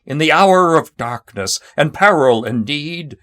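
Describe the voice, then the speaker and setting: A man reciting a narrative poem in a measured, theatrical storytelling manner. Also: on the high side, animated, a bit bright. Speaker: poetry reader. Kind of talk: dramatic poetry recitation.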